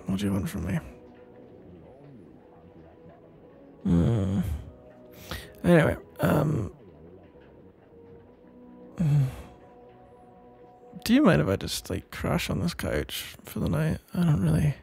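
A man playing a shy, sleepy, smitten young best friend in an intimate ASMR roleplay, stammering and awkward, with a gravelly, breathy voice. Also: fairly high pitch, sing-song, a little mellow, soft background music, full character voice. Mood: drowsy, then awkward, flustered. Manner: halting, stammering, awkward, mumbling.

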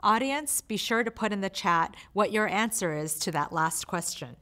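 A woman's voice: medium pitch, animated, moderate pace, fairly bright tone. An upbeat woman hosts a streamed event from a studio stage, speaking into a handheld microphone. She talks to the audience directly in a scripted, welcoming way. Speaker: event host. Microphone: handheld mic.

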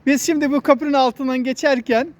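A man's voice: very high-pitched, fairly even intonation, fairly quick. Man vlogging outdoors over faint street noise. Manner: explanatory, addresses child and viewers. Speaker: vlog host, father talking with child. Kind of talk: casual outdoor walking vlog chat. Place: outdoors on a walking path. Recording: noisy outdoor recording with traffic hum.

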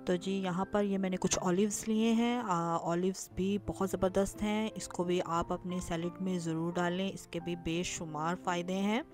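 A woman's voice: medium pitch, darkish tone. A woman narrating her cooking and dinner-outing vlog in a chatty, explanatory way, with frequent filler sounds. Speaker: lifestyle vlogger. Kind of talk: casual recipe and travel vlog voice-over. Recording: clear music bed behind voice.

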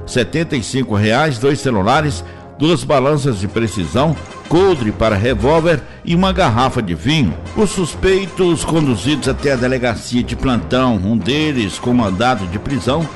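A Brazilian man narrates scripted police news as an announcer. His pitch sits in the middle range, and music plays clearly behind him. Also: animated, normal speed. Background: music under the voice.

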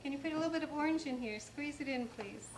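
A woman's voice: high voice, fast talker, heavy background noise. A middle-aged woman hosting a cooking show, patiently guiding a child through a recipe with polite requests. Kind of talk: cooking demonstration for kids. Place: indoor studio kitchen set.

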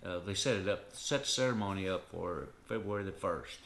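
A man's voice: low voice, brisk, fairly bright tone, a little hiss or hum. An older man with a Southern US accent, telling a personal story to camera in an earnest, rambling way, with frequent "and, uh" fillers. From Southern United States. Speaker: vlogger. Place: living room, indoors.

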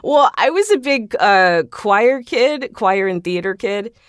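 A woman's voice: mid-range voice, lively intonation, takes it slowly, clean tone, no room sound, studio quality. A woman talking casually and informally as a podcast guest, telling self-deprecating stories about herself. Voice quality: trained singer. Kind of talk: casual interview chat, personal anecdotes.

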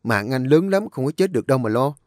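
A man's voice: mid-range voice, expressive, fast, a little mellow, no echo, studio quality, close to an everyday voice. A man voicing a cocky young farmhand brushing off danger.

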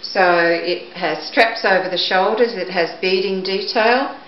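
A middle-aged woman presenting items for auction in a measured, matter-of-fact way, speaking to a camera a few steps away indoors. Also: medium pitch. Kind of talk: product showcase, descriptive talk. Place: indoors, living or dining room at home. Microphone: camera microphone a few steps away. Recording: echoey room, dull muffled sound, background hiss.

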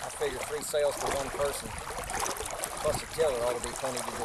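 A man's voice: medium pitch, steady tone, quick pace. A middle-aged man talking casually while sailing, with wry, offhand remarks. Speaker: sailor filming himself. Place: outdoors on a small sailboat on a lake. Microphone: onboard action camera. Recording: heavy wind and water noise outdoors. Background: wind, water and boat noise.

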